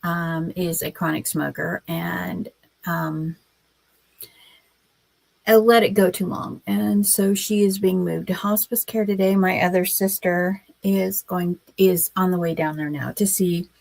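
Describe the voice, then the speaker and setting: A woman hosting a casual writing livestream, her voice scratchy from a cold, talking in a slow, halting way with many pauses. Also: on the low side, fairly even intonation, creaky, a little mellow, no room sound.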